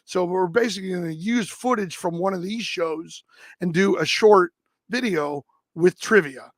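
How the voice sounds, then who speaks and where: Casting: male livestream host, high-pitched and raspy, recorded dry, casual and rambling delivery. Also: fairly bright tone, no room sound. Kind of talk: casual livestream chat and clip commentary.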